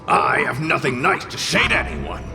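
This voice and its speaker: A cranky fire demon in a video game, with a highish, gravelly voice that swoops wildly. He is arrogant and blustering, scolding everyone over clearly audible music. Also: wildly swooping pitch, moderate pace. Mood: defiant, shouting. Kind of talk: video game cutscene acting.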